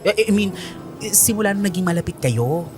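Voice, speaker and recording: A woman's voice: on the low side, expressive, moderate pace, close to an everyday voice. Actress as a bubbly, swooping young woman. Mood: gushing, proud. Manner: exclamatory, gushing, Taglish. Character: bubbly, gushing young woman, excitable best friend. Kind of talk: radio drama acting. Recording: music behind the voice.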